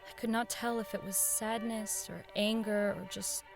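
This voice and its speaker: Actress as female radio producer, audio drama narrator, grave, measured, uneasy, eerie first-person storytelling, violin music underneath. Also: mid-range voice, little pitch movement, creaky, natural voice. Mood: wistful, uneasy. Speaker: narrator and radio show director.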